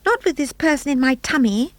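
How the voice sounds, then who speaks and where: A woman voicing an insistent young child pleading with its mother in a high childlike voice. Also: expressive, fairly quick, no room sound.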